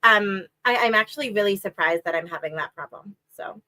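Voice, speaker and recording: A woman chatting casually on a reading stream, her voice bright and a little tense, recorded dry and close with no room sound. She talks in a rambling, filler-heavy way and sounds slightly stuffed up. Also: fairly quick, no room sound, low-quality recording. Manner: chatty, lots of "like" and "um", lively rises in pitch. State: stuffy nose. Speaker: co-host of a reading sprint stream. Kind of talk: casual chat.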